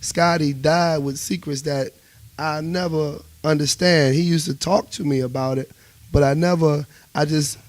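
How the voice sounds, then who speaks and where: A man's voice: a little high, a little slow, dry recording. A man with a Washington, DC accent talking casually and emphatically as a musician guest in a radio interview, often checking that he is understood. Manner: emphatic, animated storytelling with repeated check-ins.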